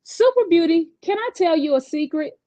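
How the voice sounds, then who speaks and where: A woman's voice: very high voice, animated, moderate pace, dark tone, pitched above the performer's own voice. A woman voicing a sweet, anxious schoolgirl in a storybook, with a nervous, earnest way of talking. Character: anxious, sweet schoolgirl who is easily upset.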